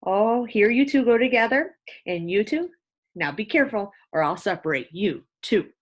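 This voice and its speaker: A woman's voice: mid-range voice, lively intonation, normal speed, pitched above the performer's own voice, lightly acted. A woman voicing a cheerful, literal-minded housemaid in a children's storybook, earnest and folksy. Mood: playful. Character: cheerful, literal-minded housemaid, puzzled but eager to please. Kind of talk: children's storybook read-aloud.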